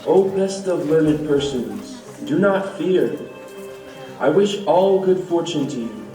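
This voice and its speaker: A man playing a majestic, reassuring supreme god in a devotional puppet show, measured and solemn. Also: a little high. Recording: roomy, distant mic, music behind.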